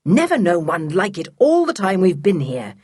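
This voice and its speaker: A woman's voice: lowish voice, huge pitch swings, normal speed, a little mellow, breathy edge, dry recording, clean studio sound, exaggerated voice. A woman voicing a curt, irritable uncle with a fierce temper in a children's storybook. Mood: fierce grumbling.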